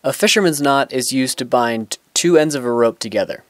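A man's voice: mid-range voice, a little fast, fairly bright tone, no echo, studio quality. Plain-spoken young man teaching knots. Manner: plain, instructional, with some restarts. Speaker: knot-tying instructor. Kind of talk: step-by-step tutorial. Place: outdoors on grass.

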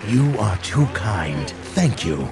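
A man's voice: on the low side, very animated, slow, gravelly, over music, crowd noise, heavily acted. A man playing a suave, composed cartoon crime lord posing as a benefactor, giving a polished formal speech. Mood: gracious, composed.

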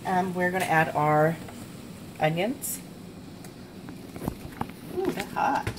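A woman's voice: on the low side, expressive, takes it slowly, noisy background. A woman in her forties hosting a casual home cooking show, chatty and full of fillers, recorded on a camera mic in her kitchen. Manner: chatty, filler-heavy, self-deprecating.

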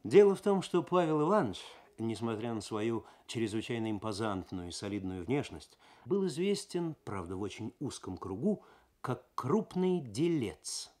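Expressive male radio story narrator. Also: medium pitch, normal speed. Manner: expressive, actorly, suspenseful. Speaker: narrator, reader. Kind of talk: dramatic literary narration, 1970s Soviet radio.

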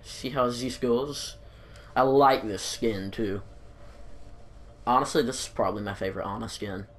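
A man's voice: medium pitch, normal speed. A young man with a stuffed-up nose and throat, chatting casually while playing a video game, rambling and apologizing as he goes. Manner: rambling, self-deprecating, apologetic. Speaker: gaming YouTuber. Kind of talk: casual gameplay commentary.

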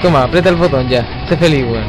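A man's voice: mid-range voice, fast talker, low-quality recording. A Chilean man chatting and calling out to teammates in a slangy, profane way as he plays an online shooter. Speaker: online co-op gamer leading the team. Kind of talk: casual gaming chat with team callouts. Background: zombie shooter game audio with music.

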